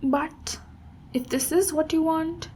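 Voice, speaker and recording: A high, unhurried voice for a big ogre warrior woman, acted out by a woman. She is a gentle, encouraging mentor in an intimate ASMR fantasy roleplay, taking her time with each phrase. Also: steady tone, slight background noise. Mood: earnest, encouraging. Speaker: ASMR voice actor.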